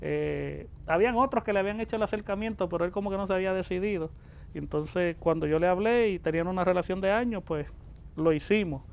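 A man's voice: high voice, fast talker, gravelly, a little mellow. A man in his forties with a Puerto Rican accent, telling anecdotes casually as an interview guest, with some background noise. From born in the Dominican Republic, raised in Puerto Rico from age ten. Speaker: interview guest, music producer.

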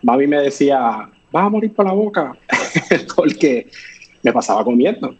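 A man's voice: mid-range voice, big pitch swings, unhurried, breathy edge. A man who grew up speaking English, chatting as an interview guest and rambling with lots of fillers and restarts. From born in Chicago, raised partly in Puerto Rico. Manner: rambling, many fillers and restarts, laughs often. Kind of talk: casual live interview chat.

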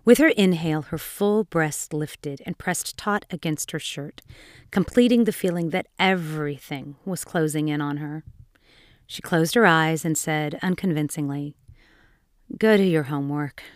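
A woman with a fairly low voice reads a scripted dark fairy tale. Her storytelling is dramatic and expressive. The sound is close and dry, with very little room in it. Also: unhurried, no echo.

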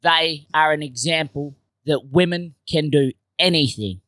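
A man's voice: fairly high pitch, measured pace, fairly bright tone, no room sound, studio quality. A man, a comedian telling stories in casual chat, swearing and laughing as he goes. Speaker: podcast guest comedian.

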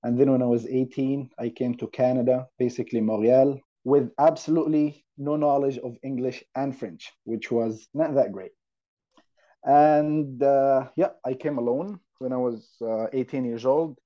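Young man, Arabic accent, relaxed soft-edged tone, informal podcast guest, muffled lo-fi sound. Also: medium pitch, moderate pace. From Saudi Arabia. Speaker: podcast guest, university student. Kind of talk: casual personal storytelling. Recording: slightly dull lo-fi audio.

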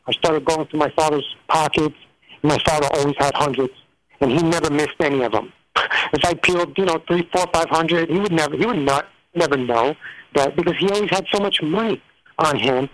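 A man's voice: a little high, fairly quick, a bit bright, no room sound, low-quality recording. Rambling New man. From New York. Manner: rambling, many fillers and restarts. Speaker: guest. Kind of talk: casual storytelling interview.

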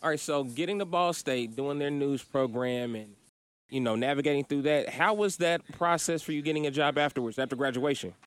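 A man's voice: on the high side, fairly quick, clean tone, no echo. Chatty man hosting a podcast. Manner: relaxed, chatty, lots of fillers. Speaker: podcast host. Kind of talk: casual interview.

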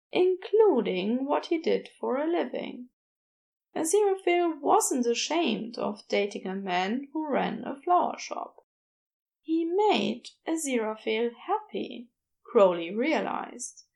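High, slow-paced woman narrating fiction. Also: creaky. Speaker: audiobook narrator.

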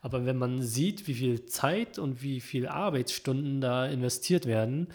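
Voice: medium pitch, lively intonation, moderate pace, darkish tone. A podcast host asking questions in a casual interview, friendly and encouraging, with many fillers.

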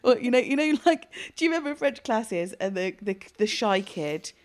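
A woman, co-hosting a music podcast and telling animated stories with laughter. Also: on the high side, normal speed. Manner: animated storyteller, laughs through lines. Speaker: podcast co-host. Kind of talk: casual chat.

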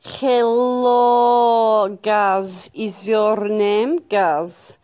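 A woman's voice: medium pitch, flat delivery, drawn-out words, darkish tone, clean tone, no echo. A woman playing a brash, blunt, self-loving Russian woman who scolds in broken English as host of a comic advice show. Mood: welcoming, teasing. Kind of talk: improvised comic monologue answering caller messages.

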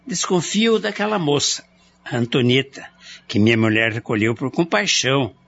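An actor playing a soft-hearted, hesitant Brazilian husband in a radio drama, speaking in a tentative, pleading way. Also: male voice, medium pitch, expressive, moderate pace, gravelly, muffled recording, slight background noise. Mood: uneasy, suspicious.

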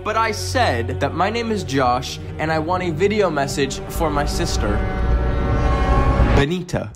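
A man's voice: fairly high pitch, animated, unhurried. A young man narrating a casual comedic vlog as a YouTube host. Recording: loud music behind the voice. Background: music.

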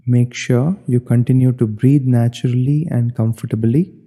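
A man leading a guided meditation as an instructor, giving measured, step-by-step directions. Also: mid-range voice, fairly even intonation, warm tone, slightly rough. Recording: long hollow reverb.

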